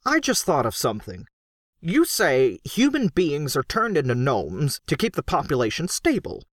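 A man's voice: fairly high pitch, wildly swooping pitch, normal speed, dry recording, clean studio sound, pitched above the performer's own voice. A man voicing a bewildered, hesitant human man newly turned into a gnome. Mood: nervous bewildered. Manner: nervous, hesitant.

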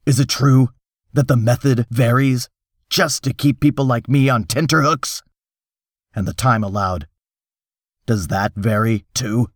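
A man's voice: medium pitch, a little slow, pauses often, dry recording, clean studio sound, close to an everyday voice. A man voicing a desperate hunted killer in a story reading, bitter, accusing and demanding.

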